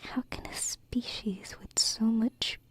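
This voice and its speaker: Woman as a whispering, breathy alien princess. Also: on the high side, fairly even intonation. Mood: shy, musing. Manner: hesitant, tender, slow pauses. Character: gentle, wistful alien princess, protective of humans. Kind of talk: whispered ASMR roleplay. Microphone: close binaural microphone.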